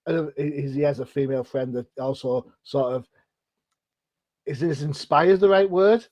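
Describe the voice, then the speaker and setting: A man's voice: fairly high pitch, measured pace, darkish tone, dry recording. A middle-aged man from Britain hosting an interview, chatty and self-deprecating, with rambling questions. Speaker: podcast host. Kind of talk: casual interview chat.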